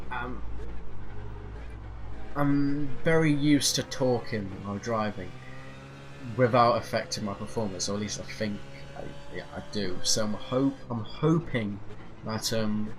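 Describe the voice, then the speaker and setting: A man's voice: mid-range voice, measured pace. A man rambling hesitantly with lots of fillers as he drives a racing sim, his nose stuffy from a cold. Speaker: sim racing channel host. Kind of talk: casual rambling commentary while driving a racing sim. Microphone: off-center desk mic. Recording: clear sim engine noise behind. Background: racing game engine noise.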